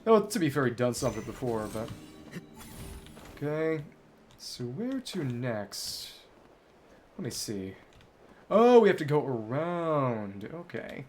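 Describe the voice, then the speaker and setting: A man's voice: medium pitch, sing-song, takes it slowly, pauses often, a little mellow, slight background noise. A man livestreaming a game, thinking aloud in bookish wording as he chats casually with viewers and wanders between topics. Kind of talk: casual gameplay commentary, chatting with viewers.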